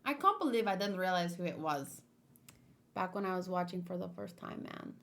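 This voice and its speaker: A woman livestreaming in a casual, expressive and playful way, chatting and commenting as she reads aloud. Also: fairly low pitch, moderate pace, gravelly. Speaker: streamer hosting a manga read-along.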